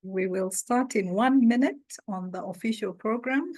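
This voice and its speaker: A woman hosting an online orientation, speaking slowly and deliberately as she welcomes new members. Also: a little high, a little mellow. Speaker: orientation host. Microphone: video call.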